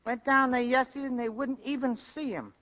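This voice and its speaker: Subdued, sad brother-in-law. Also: male voice, very high-pitched, fairly even intonation, a little fast. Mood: downcast. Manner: subdued, hesitant, reassuring. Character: sad, kindly, long-suffering brother-in-law. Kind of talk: old-time radio acting. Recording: dull old radio broadcast.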